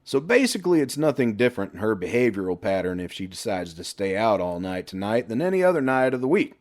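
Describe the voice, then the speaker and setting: A man's voice: on the low side, sing-song, quick pace, a little mellow. A man voicing a crude, sarcastic middle-aged best friend who needles and teases in an audiobook story. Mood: sardonic teasing. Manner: sarcastic, teasing.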